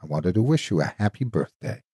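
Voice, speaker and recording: A man's voice: fairly low pitch, sing-song, a little fast, mellow, slightly rough, no echo, clean studio sound, exaggerated voice. A man voicing a devoted, protective soldier's ghost in an earnest, solemn horror storytelling reading. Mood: tender.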